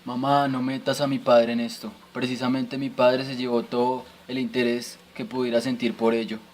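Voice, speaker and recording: A man's voice: medium pitch, little pitch movement, normal speed, a little mellow, slight background noise, close to an everyday voice. Man playing a sceptical, questioning son. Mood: defiant. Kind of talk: amateur radio drama.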